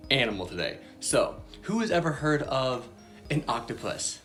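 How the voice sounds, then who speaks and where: A woman's voice: a bass voice, very animated, moderate pace. Bubbly woman hosting a kids' lesson. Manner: bubbly, chatty, many fillers and laughs. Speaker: children's Bible lesson host. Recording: soft background music.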